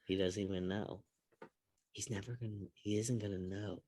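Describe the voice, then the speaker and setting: A man's voice: on the low side, steady tone, moderate pace, warm tone. A man on a sports podcast chipping in with casual side comments. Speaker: podcast participant.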